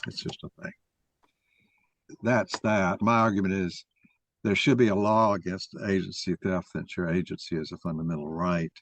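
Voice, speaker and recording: A man's voice: deep voice, level tone, normal speed, no echo. A man giving an explanatory talk in an opinionated, didactic way, taking questions as he presents his argument.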